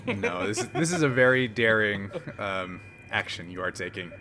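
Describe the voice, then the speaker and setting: A man's voice: fairly low pitch, big pitch swings, moderate pace, sharp tone, soft background music. A man running a tabletop game as game master, reading rules aloud with many fillers.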